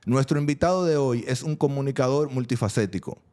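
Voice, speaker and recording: A man's voice: mid-range voice, brisk, darkish tone. A man hosting an interview podcast, introducing and questioning a guest. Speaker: podcast host.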